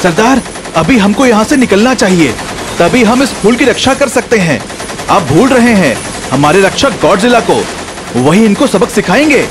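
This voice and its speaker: A man's voice: sits high, sing-song, normal speed, background noise, pitched above the performer's own voice. A man voicing a proud, defiant tribesman guarding something sacred, speaking in a stern, declamatory way. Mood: urgent.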